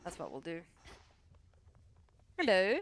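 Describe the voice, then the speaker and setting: A woman's voice: fairly low pitch, wildly swooping pitch, takes it slowly. A woman chatting casually on a gaming livestream, thinking aloud as she talks herself through choices. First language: English. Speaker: streamer, therapist answering questions.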